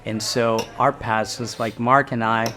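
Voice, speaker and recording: A man's voice: mid-range voice, little pitch movement, measured pace, sharp tone, faint music behind. A middle-aged man from Guatemala, a restaurant owner, chatting casually in an interview and rambling a little with fillers and restarts.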